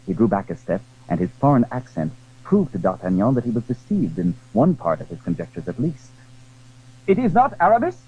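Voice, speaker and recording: A man narrating a period adventure story in a measured, literary, dramatic style. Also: lowish voice, big pitch swings, phone-quality sound, slight background noise.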